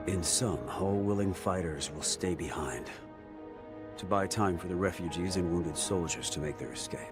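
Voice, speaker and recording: A man playing a resolute, honorable mercenary brigade leader in a video game, speaking formally and deliberately. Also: low-pitched, close to an everyday voice. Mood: commanding, solemn. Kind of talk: dramatic video game cutscene acting. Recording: loud music under the voice.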